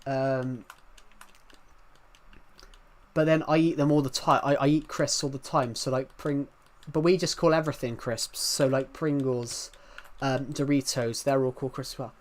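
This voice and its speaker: Young British streamer, man, thick regional accent, mid-pitched, rambling casual chat with viewers. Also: fairly even intonation, normal speed. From United Kingdom. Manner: rambling, many restarts, chatty and candid. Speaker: live streamer.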